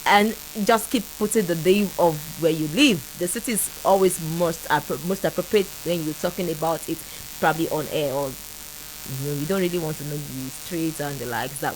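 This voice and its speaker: A woman with a Nigerian accent hosting a call-in radio French lesson, laughing often and slowly repeating phrases for listeners to copy. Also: low-pitched, a little hiss or hum, rough recording. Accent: Nigerian English. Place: radio studio.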